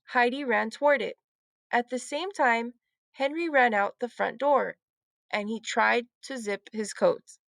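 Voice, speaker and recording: A woman's voice: a little high, a little slow, vocal fry, fairly bright tone, dry recording, clean studio sound. A woman narrating a children's storybook as a read-aloud storyteller.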